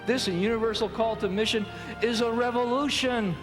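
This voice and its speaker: A man's voice: extremely high pitch, fairly even intonation, normal speed. A man preaching with passion in an echoey hall, with music and crowd sound behind. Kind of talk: impassioned preaching.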